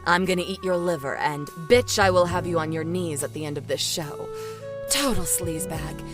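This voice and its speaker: A woman's voice: fairly low pitch, normal speed, lightly acted. A woman acting a sardonic, cool-headed sci-fi game show producer in an audio drama, dry and wry. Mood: teasing. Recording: music playing behind the voice.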